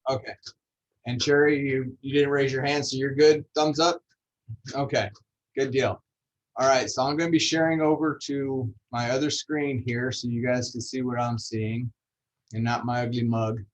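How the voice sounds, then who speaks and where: A man walks a group through a training session over a video call. His voice sits at an ordinary middle pitch, and he keeps things relaxed and chatty, dropping jokes as he goes. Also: moderate pace, poor audio. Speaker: MLS trainer. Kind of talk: casual online training session.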